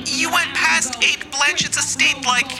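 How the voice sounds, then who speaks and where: A bossy, exasperated robot colleague in an audio comedy. Her voice is high-pitched and sits over loud music. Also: fairly even intonation, unhurried, gravelly. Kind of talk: comedic audio drama acting.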